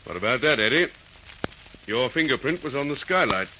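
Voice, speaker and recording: Police interrogator, firm and authoritative, old-time radio play, bright edge, lively intonation. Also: male voice, medium pitch, poor audio. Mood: pressing. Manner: brisk, authoritative. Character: persistent, firm male police detective interrogating suspect. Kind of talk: old radio drama acting. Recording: old radio recording with hiss.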